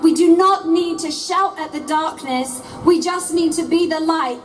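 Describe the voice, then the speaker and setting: A woman's voice: extremely high pitch, a bit bright. A woman pastor giving an earnest, preacherly speech at an outdoor rally. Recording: distant mic with outdoor noise.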